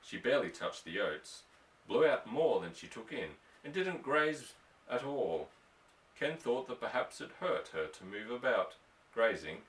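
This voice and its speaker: A man's voice: medium pitch, sing-song, a little slow, fairly bright tone. A man reading a story aloud as an audiobook narrator, in a scripted narration style. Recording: echoey room, distant microphone.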